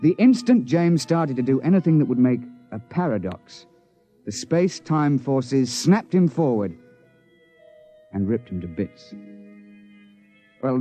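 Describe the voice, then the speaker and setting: A man's voice: mid-range voice, close to an everyday voice. Casting: male actor as an unflappable British safari guide, wry and clipped, on muffled old radio with music behind. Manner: clipped understatement, drily matter-of-fact. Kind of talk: 1950s radio drama acting.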